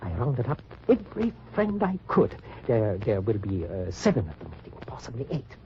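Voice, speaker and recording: A hesitant, stammering middle-aged resistance man, played by a man in an old radio drama. His pitch rises and falls widely. The sound is dull, with a faint hiss behind it. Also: sing-song, normal speed, close to an everyday voice. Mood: eager. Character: middle-aged German resistance man, eager then grief-stricken. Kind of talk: 1950s radio drama acting.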